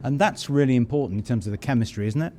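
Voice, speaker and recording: A man's voice: mid-range voice, rapid-fire, dark tone, a little hiss or hum. A man interviewing guests backstage at an awards event in a congratulatory, encouraging way. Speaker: interviewer, podcast host.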